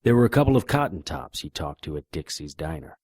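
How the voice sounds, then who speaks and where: A man playing a wry, laconic private detective in a noir audio drama, with deadpan delivery. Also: extremely low pitch, a little fast, dry recording, studio quality, heavily acted. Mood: brooding narration.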